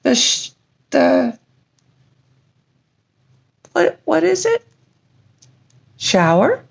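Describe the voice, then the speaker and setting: Woman voicing a high-pitched halting little girl dragon. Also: wildly swooping pitch, creaky, heavily acted. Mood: halting, earnest. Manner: halting, stumbles sounding out words.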